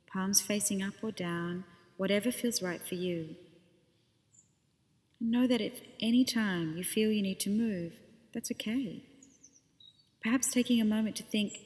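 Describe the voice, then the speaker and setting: A woman leading a guided body scan meditation as a teacher, speaking gently and unhurriedly with pauses between phrases. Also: medium pitch, big-room sound.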